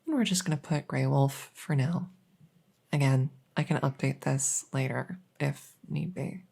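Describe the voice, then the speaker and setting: A woman playing a polite, hesitant, matter-of-fact night vet in an ASMR audio roleplay, with a creaky voice. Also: a bass voice, a little slow, warm tone, heavily acted. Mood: professional, reassuring. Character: soft-spoken, polite night vet receiving a supernatural patient.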